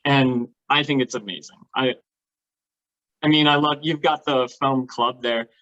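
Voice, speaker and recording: A man with a middle-pitched voice explains his hobby in an enthusiastic, casual way. The recording is slightly dull and lo-fi, like an online chat. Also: fairly even intonation, measured pace, a bit bright. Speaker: guest, LARP participant.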